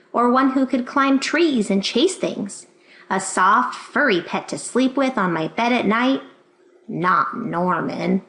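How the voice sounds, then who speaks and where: A woman reading a children's picture book aloud in an expressive way, acting out the dialogue. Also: a little high. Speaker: storybook reader. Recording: roomy, echoing sound.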